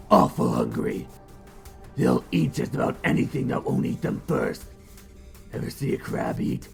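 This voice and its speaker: Man playing a very gravelly, sardonic sea warlord. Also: medium pitch, heavily acted. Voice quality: gravelly, growling. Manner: sarcastic, mock-casual threats. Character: sardonic, threatening crab-army sea warlord, a tough thug. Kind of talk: fan dub animation acting.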